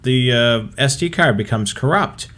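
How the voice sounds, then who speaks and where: A man's voice: mid-range voice, lively intonation, moderate pace, fairly bright tone. A man co-hosting a hobby show, chatting casually and talking listeners through examples and advice.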